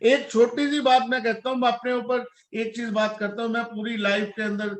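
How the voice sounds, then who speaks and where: A man's voice: very high-pitched, little pitch movement, moderate pace, echoey room. A man in his forties from India, a parent speaking earnestly and reflectively in a casual talk, mixing English words into his speech. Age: 40s. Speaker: parent guest.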